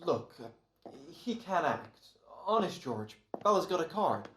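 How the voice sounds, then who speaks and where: A man's voice: on the high side, big pitch swings, unhurried, fairly bright tone, a little hiss or hum. A man playing a wry, wisecracking radio actor in an old-time comedy-horror drama, sardonic and theatrical. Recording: roomy echoing home recording.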